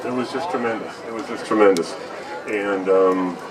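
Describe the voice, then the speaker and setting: An older man giving a halting, informal reminiscence, repeating his phrases as he goes. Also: lowish voice. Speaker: former radio engineer, panel guest. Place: public event with audience. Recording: distant mic, outdoor crowd murmur.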